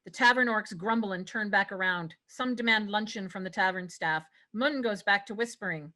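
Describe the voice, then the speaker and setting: A woman narrates a script, reading out stage directions. Her voice is bright and forward, sitting at a medium pitch. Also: moderate pace.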